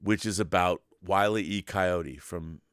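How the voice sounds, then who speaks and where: A man's voice: sits low, measured pace, smooth, no echo, studio quality. A man hosting a casual live stream, chatting in an enthusiastic, rambling way and reading out viewer messages.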